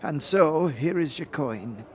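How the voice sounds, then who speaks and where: A man's voice: on the high side, animated, takes it slowly, a little mellow, slight background noise. A man voicing a cryptic female fortune teller in a fantasy audio drama, portentous and archaic in manner. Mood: cryptic, solemn. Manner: mysterious, portentous, archaic phrasing. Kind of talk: audio drama character acting.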